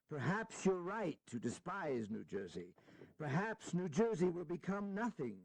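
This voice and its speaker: An actor playing a proud, impassioned small-state delegate in a historical audio drama, earnest and oratorical. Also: male voice, on the high side, gravelly, darkish tone, no echo, heavily acted.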